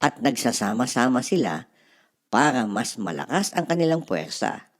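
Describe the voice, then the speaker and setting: A woman's voice: very deep voice, very animated, normal speed. A woman playing a helpful, knowing older sister, a young girl who is encouraging and matter-of-fact, in a storybook. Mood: eager.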